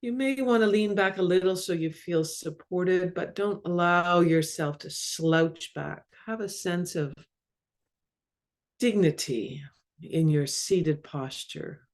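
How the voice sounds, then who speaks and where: A woman leading a guided meditation as a mindfulness coach, speaking calmly and measuredly in short phrases with long pauses. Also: lowish voice. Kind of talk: guided body scan meditation.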